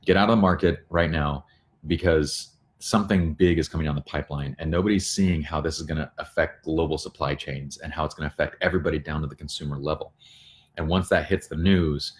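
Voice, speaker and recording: Male livestream host, extremely deep voice, informal and earnest, talking viewers through things from a bedroom at home. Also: steady tone, a little fast. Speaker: photography studio owner hosting a livestream. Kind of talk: casual informal live discussion.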